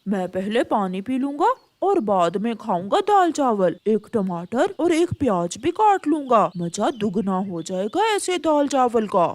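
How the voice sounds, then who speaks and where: Cartoon male pigeon, played by a woman: lightly high, lively intonation, exclamatory, sly and chummy. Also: measured pace, few pauses, dry recording. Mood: eager, hungry. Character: hungry male pigeon, friendly but slyly vengeful. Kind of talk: Hindi moral-story cartoon acting.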